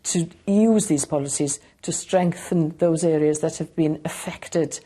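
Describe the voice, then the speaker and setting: A woman's voice: low-pitched, a little slow, warm tone, husky. A woman official giving an earnest interview answer. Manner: earnest, policy-focused. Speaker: language commissioner.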